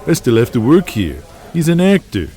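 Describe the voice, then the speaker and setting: A man's voice: medium pitch, wildly swooping pitch, moderate pace, dark tone, voice pushed up. A man playing a proud male pro wrestler in an audio drama, blunt and grudging. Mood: resentful.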